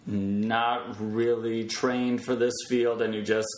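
A man's voice: mid-range voice, little pitch movement, slow pace. A man chatting casually as a podcast guest, telling stories in a hesitant, self-deprecating way. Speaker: podcast guest, web developer. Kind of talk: casual conversational interview. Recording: roomy echo, clear background noise.

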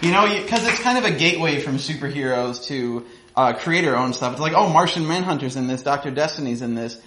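A man's voice: medium pitch, lively intonation, fairly quick, a bit bright, poor audio. A man chatting casually and enthusiastically on stage as the host of a live show. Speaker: host introducing live storytellers and comics. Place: live venue in front of an audience. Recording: live show with clearly audible crowd. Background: audience.

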